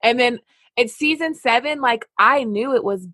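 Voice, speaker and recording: A woman's voice: a little high, normal speed, bright, forceful tone, dry recording, clean studio sound. A woman hosting a podcast, chatting casually with many "like" fillers. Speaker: podcast host.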